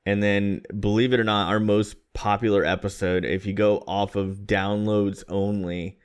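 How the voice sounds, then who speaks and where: A man's voice: on the low side, level tone, moderate pace, smooth. A man in his thirties from Florida, co-hosting a podcast in a rambling, self-deprecating casual chat. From Florida, USA. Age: 30s. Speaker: podcast co-host.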